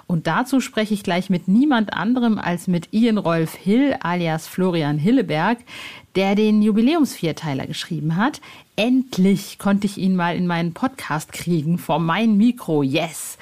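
A woman hosting a podcast in a lively, informal and chatty way, with playful asides. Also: lowish voice. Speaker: podcast host.

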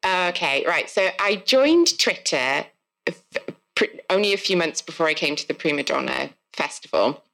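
A woman from South Wales with a Welsh accent, a guest author chatting at a live podcast recording, self-deprecating and quick to laugh. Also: sits low, lively intonation, creaky, a bit bright.

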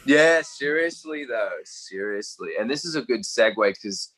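Bright-voiced playful Australian man. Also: mid-range voice, animated, moderate pace, rough recording. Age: 20s. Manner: playful, laughing, loose and rambling. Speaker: guest. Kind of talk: casual podcast chat.

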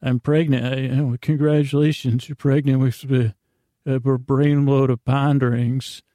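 A tired middle-aged man with a creaky voice hosts a bedtime podcast, meandering and self-deprecating, halting with long pondering pauses. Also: medium pitch, little pitch movement, mellow, no echo. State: tired, worn down. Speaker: podcast host and bedtime storyteller.

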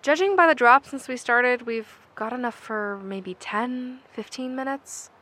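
A woman's voice: on the high side, measured pace, pushed and bright, dry recording. A woman playing a practical technician who reports power levels in a matter-of-fact way.

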